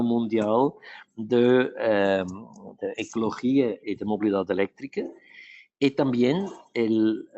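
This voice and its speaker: Halting Swiss man speaking Portuguese-tinged Spanish. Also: mid-range voice, darkish tone, muffled recording. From Switzerland. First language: not Spanish; speaks Spanish mixed with Portuguese. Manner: halting, many restarts and fillers, mixes in Portuguese words. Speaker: urban planner and mobility specialist, conference speaker. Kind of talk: remote conference presentation.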